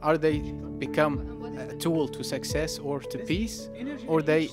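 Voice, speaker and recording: A man's voice: on the high side, fairly even intonation, takes it slowly. Earnest young man over guitar music. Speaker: student. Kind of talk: interview answers.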